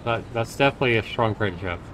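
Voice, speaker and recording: A man's voice: fairly low pitch, quick pace, background noise. A man livestreaming a game, reading the narration aloud and adding his own commentary. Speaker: streamer and host.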